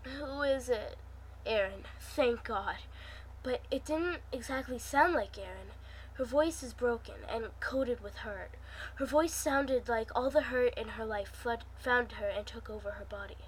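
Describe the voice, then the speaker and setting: Higher-voiced woman reading fan fiction. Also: normal speed, slight background noise, poor audio. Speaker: channel host reading fan fiction aloud. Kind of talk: casual vlog intro and amateur story reading.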